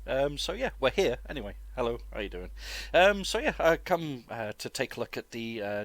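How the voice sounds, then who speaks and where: A man, chesty with a cold, giving relaxed, informal and rambling flight simulator commentary as a video host. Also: medium pitch, no room sound. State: getting over flu, chesty cough. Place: dry close-miked voice-over indoors.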